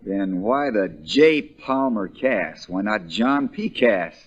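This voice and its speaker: A man's voice: fairly low pitch, animated, takes it slowly, slightly rough, lo-fi sound, heavily acted. A man playing a plain-spoken, wry country lawyer with a folksy drawl, in the style of 1940s radio drama. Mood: dryly teasing. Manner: folksy, drawling, dryly teasing.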